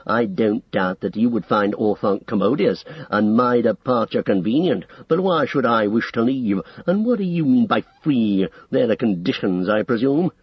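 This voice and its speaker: A man's voice: medium pitch, lively intonation, moderate pace, few pauses, slightly rough, no room sound, dull audio. A man voicing a proud, scornful, wrathful old male wizard in an audiobook story. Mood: sneering. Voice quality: screaming when enraged. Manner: sneering, scornful, condescending.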